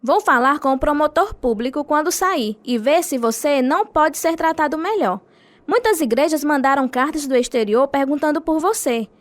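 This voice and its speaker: High-voiced devoted wife. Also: female voice, animated, moderate pace, no echo. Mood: worried. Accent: Brazilian. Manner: earnest. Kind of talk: dramatized radio testimony narration.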